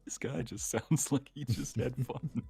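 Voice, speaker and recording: A man's voice: medium pitch, unhurried, creaky, mellow, slightly rough, faint music behind. A man chatting casually as a guest voice actor on a livestream.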